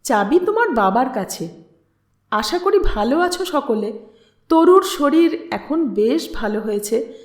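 A woman voicing a kindly, gentle middle-aged mother in an audio story, measured and affectionate. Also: high-pitched, very animated. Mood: warm, composed. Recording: echoey room.

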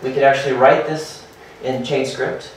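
A man in his thirties giving a hurried startup presentation at a meetup, full of fillers and restarts. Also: mid-range voice, fairly even intonation, slight background noise. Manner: hurried, filler-heavy, restarts and repeats words. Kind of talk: conference talk. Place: meetup room with projector and seated audience. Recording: very echoey room from far off.

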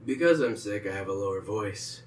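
A man's voice: lowish voice, animated, normal speed, a little hiss or hum. A young man with a cold, joking and swearing playfully as co-host of an improvised comedy chat. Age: young adult. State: sick. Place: small room with green screen. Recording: echoey room, mic far off.

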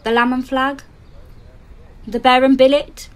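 A woman playing a brooding, poetic storyteller in an audio drama, with deliberate dramatic delivery. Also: sits high, level tone, smooth. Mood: brooding, ominous. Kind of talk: scripted audio drama narration. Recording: faint background ambience.

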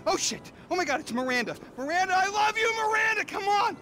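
A man acting in a video game cutscene as a manic, sleazy celebrity obsessive who rants in a jittery, stammering, excitable way. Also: very high voice, fast talker. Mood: frantic, obsessed. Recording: game scene, noisy traffic background.

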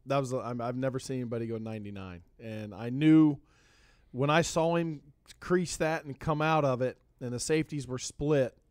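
A man's voice: mid-range voice, darkish tone, clean tone, no room sound, clean studio sound. A man, a head football coach, talking on a radio show with many fillers and restarts. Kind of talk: casual radio interview. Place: radio studio.

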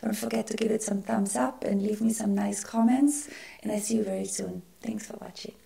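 Hoarse, gravelly woman losing her voice. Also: medium pitch, fairly even intonation, brisk, warm tone. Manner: chatty, friendly, explains as she works. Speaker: makeup artist. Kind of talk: makeup tutorial.

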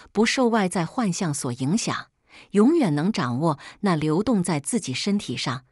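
Female audiobook narrator, slightly low and breathy-rough, reflective scripted reading, very dry sound. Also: no room sound. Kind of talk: scripted first-person book narration.

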